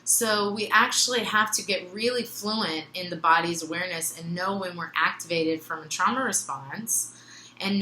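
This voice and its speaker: A woman's voice: on the low side, sharp tone. A young adult woman whose first language is not English gives an earnest, meandering reflective monologue, with fillers and frequent laughs. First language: other than English. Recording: echoey room, faint ambient noise.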